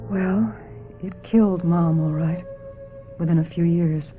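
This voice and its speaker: A woman's voice: fairly low pitch, measured pace, faint music behind, close to an everyday voice. An actress playing a reluctant, wounded young beauty queen in a radio drama. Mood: bitter, reflective. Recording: dull, noisy old radio recording.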